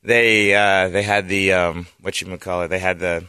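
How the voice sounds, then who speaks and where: A man who rides bulls tells a story in halting, casual speech. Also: low-pitched, level tone, pushed and bright, clean tone, no room sound. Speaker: bull rider. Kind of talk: casual chat.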